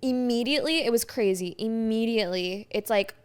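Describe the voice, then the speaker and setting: A woman's voice: fairly high pitch, brisk, clear, resonant tone. A woman in her twenties from France, a podcast guest chatting casually and upbeat, with many filler "likes". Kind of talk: casual chat.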